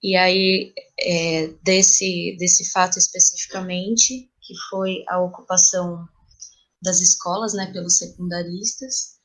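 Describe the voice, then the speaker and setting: A woman's voice: lowish voice, steady tone, slow pace, fairly bright tone, rough recording. A woman with a Brazilian Portuguese accent, answering in a reflective interview as a playwright, thoughtful and explanatory, searching for words.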